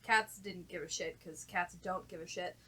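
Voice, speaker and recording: A woman's voice: lowish voice, fairly even intonation, normal speed, bright, forceful tone, breathy edge. A woman chatting casually and telling personal stories in a frank, sweary way. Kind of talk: casual chat and personal storytelling.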